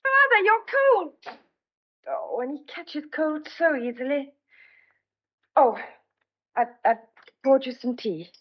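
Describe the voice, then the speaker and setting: A woman's voice: sits high, big pitch swings, slow, pauses often, exaggerated voice. A young woman playing a kindly, chatty farmer's daughter in an audio drama, polite and a little flustered. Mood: flustered, hospitable. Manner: polite, eager, slightly flustered. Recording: dull lo-fi audio.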